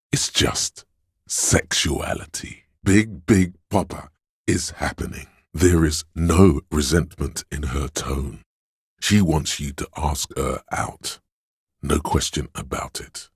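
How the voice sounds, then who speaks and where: The seductive inner voice of craving in a video game, extremely deep and thick with gravel. He drawls and insinuates like a tempter, husky and rough-edged, always goading toward pleasure. The sound is close and dry. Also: slow, no room sound, full character voice. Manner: sensual, drawling, insinuating, savouring words. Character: hedonistic inner voice of addiction and lust, seductive and goading. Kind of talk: video game character voice-over.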